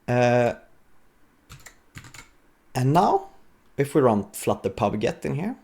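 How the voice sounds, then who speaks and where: A man giving an informal, explanatory live coding talk as a conference speaker, with a gravelly, husky voice. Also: on the low side, a little mellow.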